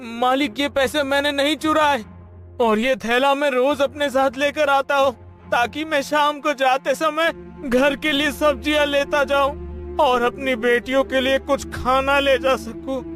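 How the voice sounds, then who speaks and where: Brief: meek honest cartoon servant, pleads, very high voice. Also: male voice, fairly even intonation, a little slow, a bit bright, faint music behind. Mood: pleading. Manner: humble, pleading, stammers. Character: poor honest male servant, humble and gentle. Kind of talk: cartoon story voice acting.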